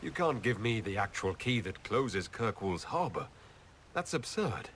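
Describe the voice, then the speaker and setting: An actor playing a composed elf hero in a video game, with a dry, gently teasing manner. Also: male voice, on the low side, very animated, a little slow, a little hiss or hum, full character voice. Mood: amused suspicion. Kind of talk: video game dialogue acting.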